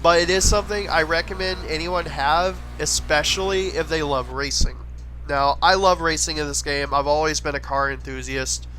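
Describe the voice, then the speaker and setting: A man's voice: fairly high pitch, steady tone, moderate pace, pushed and bright. A man with a slight head cold, chatting casually and enthusiastically as he gives gameplay recommendations in a voice-over. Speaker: gaming video creator.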